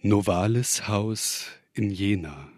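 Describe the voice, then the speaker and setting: A man reading poetry in measured, separated short phrases. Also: lowish voice, darkish tone, no echo, clean studio sound. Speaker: poet reading own work.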